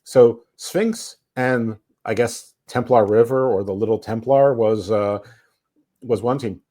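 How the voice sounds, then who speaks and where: A man's voice: mid-range voice, slow pace, dark tone. A man co-hosting a podcast, reviewing wrestling in casual chat, rambling with restarts and chuckles. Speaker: podcast co-host.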